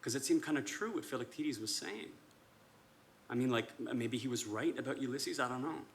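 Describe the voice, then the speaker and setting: A man's voice: medium pitch, a little fast, lightly acted. A man acting a hesitant, self-doubting army officer who speaks casually and ramblingly in a naturalistic stage play. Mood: storytelling. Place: indoor performance space. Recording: live stage video with background noise.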